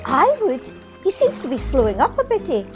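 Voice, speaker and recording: A woman playing an excitable, chatty Scottish garland seller in an amateur radio play. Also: high-pitched, normal speed, soft background music. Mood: nosy, teasing.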